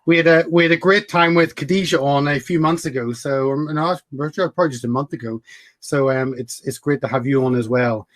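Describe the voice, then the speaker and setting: A man's voice: on the high side, fairly quick, dry recording. A man with a Northern Irish accent hosting an interview, friendly and repetitive with fillers. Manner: friendly, repeats words, fillers. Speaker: podcast host. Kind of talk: interview chat.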